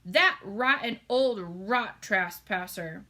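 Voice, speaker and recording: A woman's voice: mid-range voice, lively intonation, slow, pushed and bright. A woman voicing a huge, kindly, tearful male storybook giant with childlike, mangled folksy grammar and tender exclamations. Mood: indignant.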